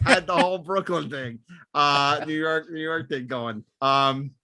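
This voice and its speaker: A man's voice: on the high side, a little slow, sharp tone, no room sound. A man hosting a film discussion podcast, talking through and reading out notes. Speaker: podcast host.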